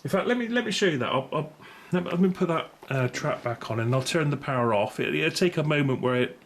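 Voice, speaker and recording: A man's voice: a little high, animated, fast talker. A middle-aged British man giving a casual, unscripted gear review in a rambling, tentative way, often correcting himself. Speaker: video host reviewing gear. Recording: clear background noise, room ambience.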